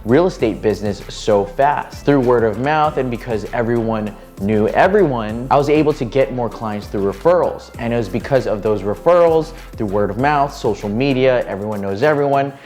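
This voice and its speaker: A man's voice: mid-range voice, normal speed, hardly any pauses, a little mellow, soft background music. Upbeat young man, chatty vlog host. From Hawaii. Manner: upbeat, conversational, many "you know" fillers. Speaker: real estate agent and video host. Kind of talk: casual talking-to-camera vlog.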